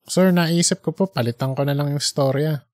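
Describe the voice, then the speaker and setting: A man's voice: on the high side, moderate pace, darkish tone, no room sound, natural voice. An earnest young male reporter, acted by a male narrator in an audiobook tale. He is apologetic, polite and hesitant as he pleads with a superior, recorded close and dry. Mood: apologetic, pleading.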